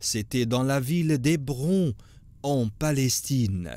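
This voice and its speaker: A man narrating a scripted religious story for a cartoon in a solemn, measured manner. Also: medium pitch, lively intonation, warm tone.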